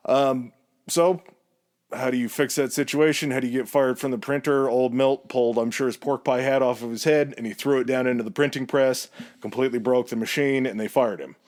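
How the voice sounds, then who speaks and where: A man's voice: mid-range voice, fairly even intonation, a little fast, a little mellow. Chatty male podcast storyteller. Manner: chatty, rambling, laughs easily. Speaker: podcast co-host telling the story. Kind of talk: casual history podcast storytelling.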